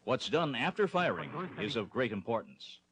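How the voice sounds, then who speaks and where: A man with a husky voice narrating a training film in a scripted, instructional style. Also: medium pitch, big pitch swings, moderate pace, background noise.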